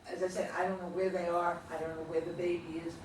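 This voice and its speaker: Man, high voice, blunt audience critic; far-off echoey room mic. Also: monotone, quick pace, background noise. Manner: blunt, lists open questions. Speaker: audience member giving feedback. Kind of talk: group discussion after a play reading.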